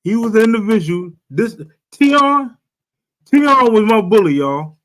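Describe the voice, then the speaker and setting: A man's voice: high voice, lively intonation, measured pace, a bit bright, poor audio. A middle-aged man in casual slangy chat, repeating himself and calling for attention. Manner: repetitive, interjecting, calling for attention.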